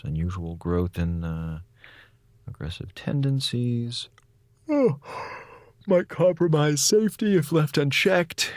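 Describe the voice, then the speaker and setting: An actor playing a flippant, sarcastic slacker communications officer on a space station in an audio drama. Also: male voice, lowish voice, dry recording. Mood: muttering, puzzled.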